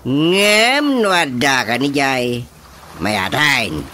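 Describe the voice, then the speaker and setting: A man with a Filipino Ilocano accent playing an eager, talkative, comically plaintive rustic young man in a radio drama, with drawn-out exclamations. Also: medium pitch, sing-song, sharp tone, slight background noise, exaggerated voice. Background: music.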